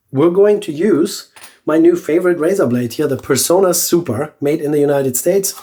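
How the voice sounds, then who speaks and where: Congested man, nasal and chatty. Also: medium pitch. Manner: relaxed, chatty, with many fillers and restarts. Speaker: shaving channel host. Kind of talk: casual product review vlog.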